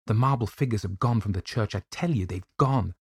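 A man voicing a shaken, highly strung married man in audiobook dialogue, earnest and defensive. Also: a little fast, husky, dry recording, studio quality. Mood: frantic.

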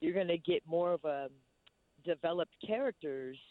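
Woman, deep voice, laid-back actress, phone-line quality. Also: moderate pace, no echo. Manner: laid-back, with frequent "you know". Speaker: stage actress. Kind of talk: casual interview chat.

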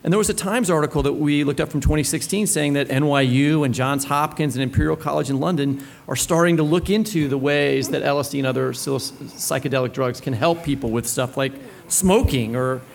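Guy interviewing live for a podcast, voice a bit high, informal chatty phrasing. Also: fairly quick, creaky, hardly any pauses, slight background noise. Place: book fair event before an audience.

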